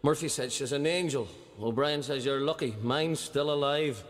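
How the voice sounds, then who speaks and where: A man's voice: mid-range voice, moderate pace, music in the background. A man telling a long stand-up joke, acting out the people in it. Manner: acts out characters in a lilting storyteller's voice. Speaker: comedian telling jokes. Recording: played-back stand-up set with hall reverb.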